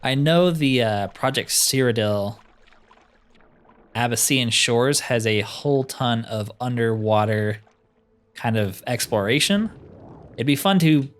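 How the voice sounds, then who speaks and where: A man giving casual playthrough commentary as a video game host, thinking aloud with self-deprecating humor. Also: mid-range voice. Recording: music behind the voice. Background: game music.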